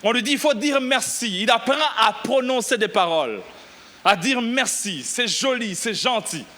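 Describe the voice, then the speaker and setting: A middle-aged pastor from Burundi preaching a lively sermon, exclaiming and shouting at the peaks. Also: male voice, very high-pitched, normal speed. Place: church.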